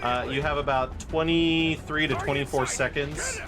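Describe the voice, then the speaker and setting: A man's voice: on the high side, fairly even intonation, normal speed. A man giving casual, playful live gameplay commentary as a speedrunner, with game music behind him. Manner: playful, chatty, theatrical asides. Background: game music and sound effects.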